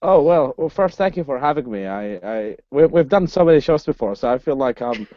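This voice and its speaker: Halting man from the Middle East. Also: mid-range voice, animated, no room sound, dull audio. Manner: halting, frequent restarts. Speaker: human rights activist guest. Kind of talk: casual podcast interview.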